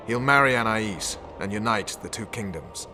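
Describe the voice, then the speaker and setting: A man's voice: on the low side, animated, normal speed, pushed and bright, soft background music. A male actor playing a hard, vengeful special forces commander in a video game, speaking in a stern, blunt, resolute manner. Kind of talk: dramatic video game acting.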